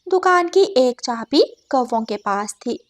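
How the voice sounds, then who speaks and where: Female storyteller, high-pitched voice, scripted children's story narration. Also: animated, a little slow.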